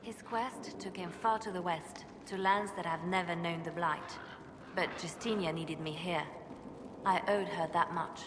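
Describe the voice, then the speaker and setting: Low, echoing, resolute spymaster played by actress. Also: female voice, steady tone, natural voice. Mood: solemn, tender. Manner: measured, earnest. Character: composed, devoted female spymaster with quiet resolve. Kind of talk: cinematic game dialogue acting. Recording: echoing stone-like hall, noisy ambience.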